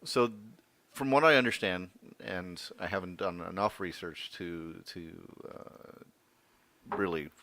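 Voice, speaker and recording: A man from Windsor, Canada, talks in a very low voice as a podcast co-host. He tells anecdotes at an easy pace and laughs often, recorded dry and close. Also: takes it slowly, no echo. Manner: relaxed, laughs easily, anecdotal. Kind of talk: casual chat.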